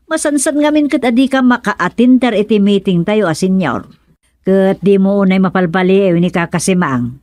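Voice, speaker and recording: A woman's voice: medium pitch, animated, moderate pace, darkish tone, no room sound, lightly acted. Actress as an exasperated woman, dry studio sound. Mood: matter-of-fact. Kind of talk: Ilocano radio comedy drama acting.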